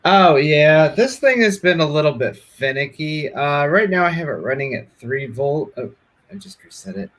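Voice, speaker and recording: A man in a casual show-and-tell demo, talking in a hesitant, halting way as a guest. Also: on the high side, expressive, a bit bright. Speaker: guest demonstrating a project.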